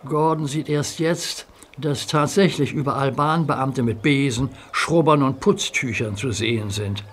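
A man narrating a children's audio drama in a calm, measured storytelling manner. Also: mid-range voice, slight background noise.

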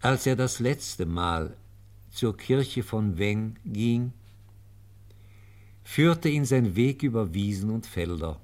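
An actor as a sober, factual chronicler, narrating a radio play from a script. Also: male voice, fairly low pitch, expressive, takes it slowly, pauses often, darkish tone. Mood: measured.

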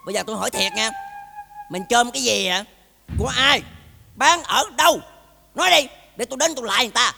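A man's voice: very high-pitched, fast, vocal fry, pauses often, pushed and bright, big-room sound, slight background noise, heavily acted. A man with a southern Vietnamese accent acting a suspicious, blustering husband in a comic domestic drama, exclamatory and folksy. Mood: angry accusing. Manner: exclamatory, folksy, muttering to himself then scolding. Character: suspicious, blustering husband bickering with his gambler wife. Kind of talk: comedic stage-style domestic drama acting.

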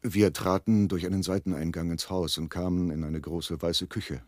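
Male actor doing nervous hesitant guy telling his own crime story, deep voice, dry sound, radio drama. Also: fairly even intonation, moderate pace, no echo, natural voice. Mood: measured, reflective. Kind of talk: German radio play narration and dialogue.

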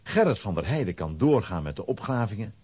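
A man's voice: lowish voice, sing-song, a little fast, darkish tone, slightly rough, no echo. A man narrating a children's audio drama in a dramatic, scripted storybook style.

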